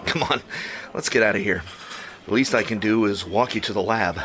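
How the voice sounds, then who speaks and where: Easygoing, teasing boyfriend, played by an actor, noisy background. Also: male voice, lowish voice, brisk, a bit bright, natural voice. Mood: playful, teasing. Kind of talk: audio drama acting.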